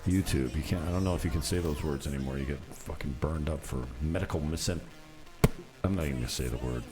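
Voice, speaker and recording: A man's voice: rumbling low, fast. A man hosting a podcast, rambling casually and irreverently, swearing freely and poking fun at himself. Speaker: podcast and radio host. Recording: music playing behind the voice. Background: show theme song.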